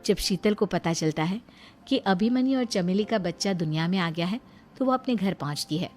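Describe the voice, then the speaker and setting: A woman's voice: medium pitch, lively intonation, normal speed, a little mellow, faint music behind. A woman reading scripted narration as the storyteller of a cartoon drama.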